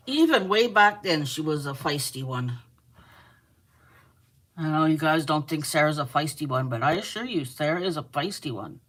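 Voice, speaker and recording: Chatty low-voiced Canadian woman. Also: fairly bright tone. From Manitoba, Canada. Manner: chatty, laughs often, teasing. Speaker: host of a live crochet stream. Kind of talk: casual chat, reading viewer comments aloud.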